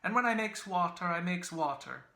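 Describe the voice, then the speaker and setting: A man's voice: high-pitched, animated, moderate pace, vocal fry, pushed and bright, reverberant, voice pushed up, heavily acted. A man voicing a homely, comic old Irish woman with a wheedling manner, in a literary reading.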